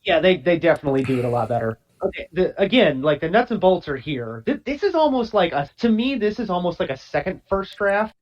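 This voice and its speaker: A man co-hosting a casual podcast, rambling and agreeable, often restarting his sentences. His voice is a little high for a man. The sound is dull and lo-fi, like a remote call. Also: sing-song, brisk, hardly any pauses. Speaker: podcast co-host.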